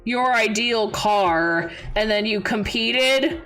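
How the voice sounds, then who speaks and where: A young adult woman chatting casually on a livestream as its host, expressive and giggly as she tells stories and answers viewers. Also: fairly high pitch, slow. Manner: giggly, animated storyteller with expressive exclamations. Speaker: VTuber streamer, host. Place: indoor livestream. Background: background music.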